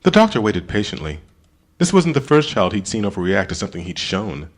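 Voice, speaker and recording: A man narrating an audiobook in a dramatic scripted style, expressive, with deliberate pauses. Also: on the low side.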